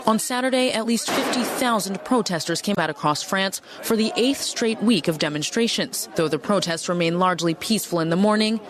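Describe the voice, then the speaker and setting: A news reporter reading a scripted report in a measured, formal delivery. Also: mid-range voice, crowd noise.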